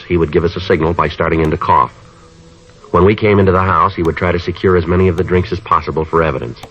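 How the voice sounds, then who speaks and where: A man plays a businesslike detective from an old radio police show. His voice is very low and brisk, terse and matter-of-fact, with the dull sound of a vintage broadcast. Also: close to an everyday voice. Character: calm, businesslike male police detective narrating a case. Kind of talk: 1950s radio police drama acting and narration.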